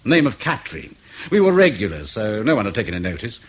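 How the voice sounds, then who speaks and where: A man's voice: fairly low pitch, very animated, fairly quick, slightly rough. An actor in radio drama playing a defensive spy in his late forties, curt, sardonic and guarded. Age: 40s. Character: bitter, defensive veteran British spy, aged forty-nine.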